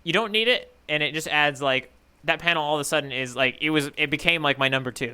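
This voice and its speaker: A man's voice: fairly high pitch, quick pace, bright, forceful tone, clean tone. A man co-hosting a podcast, chatting casually and playfully about comics. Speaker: podcast co-host. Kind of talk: casual manga discussion chat.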